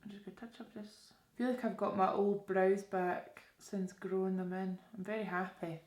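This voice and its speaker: Young woman, Scottish accent, casual beauty vlogger, conversational, medium pitch, echoey room. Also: flat delivery, moderate pace, a little mellow. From Scotland. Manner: informal, conversational, addresses viewers with questions. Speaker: beauty vlogger presenting a makeup tutorial. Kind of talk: casual chatty makeup tutorial to camera. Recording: roomy, echoey indoor sound.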